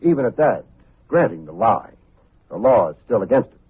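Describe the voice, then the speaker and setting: An actor playing a wry, hard-boiled private detective in an old-time radio drama, speaking in a clipped, sardonic way. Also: male voice, lowish voice, very animated, normal speed, husky, heavily acted. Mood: needling. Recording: muffled, phone-like old radio recording with faint music.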